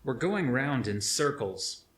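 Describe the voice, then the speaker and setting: A man's voice: medium pitch, big pitch swings, takes it slowly, a bit bright, pitched above the performer's own voice. A man voicing a skeptical male companion in an audiobook, impatient and full of complaint. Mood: complaining. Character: whiny, skeptical male companion who questions everything.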